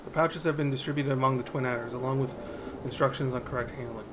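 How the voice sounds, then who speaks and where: A man's voice: medium pitch, fairly even intonation, quick pace, natural voice. A man voicing a dutiful military officer from a fantasy game, polite, orderly and explanatory. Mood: earnest, explanatory. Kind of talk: game dialogue read aloud. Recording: game music behind the voice.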